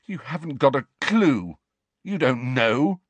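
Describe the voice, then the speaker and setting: A man voicing a furious, grief-stricken teenage boy wizard who shouts with his voice shaking with rage. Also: mid-range voice, lively intonation, slow pace, slightly rough, no room sound, pitched above the performer's own voice.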